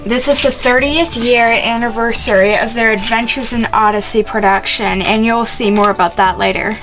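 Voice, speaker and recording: A woman's voice: a little high, steady tone, normal speed, music in the background, poor audio. A female speaker giving plain explanatory narration for a family vlog.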